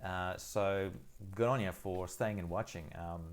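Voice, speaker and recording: A man's voice: sits low, fairly even intonation, a little slow. A middle-aged man from South Africa, whose first language is Afrikaans, hosting a casual live Q&A from his phone and answering viewer questions thoughtfully, with many fillers and restarts.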